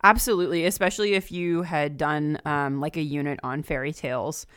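A woman's voice: deep voice, fairly even intonation, a little fast, fairly bright tone, smooth, no echo, studio quality. A woman guesting on a podcast, chatting casually and with enthusiasm about books. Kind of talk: casual book discussion chat. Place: remote call.

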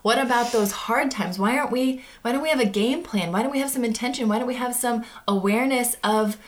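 A woman in her thirties co-hosting a casual talk show, animated and full of questions. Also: fairly high pitch, fairly quick, a little hiss or hum. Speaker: podcast co-host. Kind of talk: casual conversational chat.

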